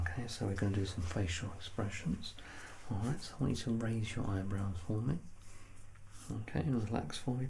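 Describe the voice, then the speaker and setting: A soft-spoken man in a calm, reassuring ASMR medical exam roleplay, playing the examiner. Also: fairly low pitch, creaky, background noise.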